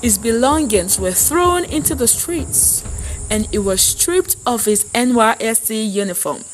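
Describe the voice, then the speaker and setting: A woman with a fairly high voice narrates a dramatic folktale from a script. She speaks very slowly, phrasing each part deliberately and solemnly. Music is clearly audible behind her narration. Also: lively intonation. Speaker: storyteller, narrator.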